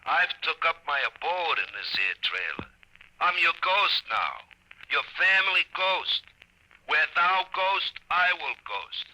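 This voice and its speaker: An actor playing the brash ghost of a train-hopping hobo in a comedic 1950s radio play, chummy and needling in folksy slang. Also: male voice, a little high, big pitch swings, takes it slowly, bright, forceful tone, heavily acted. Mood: chummy, teasing. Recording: noisy old radio recording.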